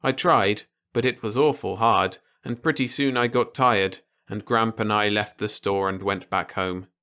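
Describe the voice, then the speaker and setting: Bright-voiced man narrating a story. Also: fairly low pitch, a little slow, clear, resonant tone. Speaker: audiobook narrator. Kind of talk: scripted story narration in a child's first person.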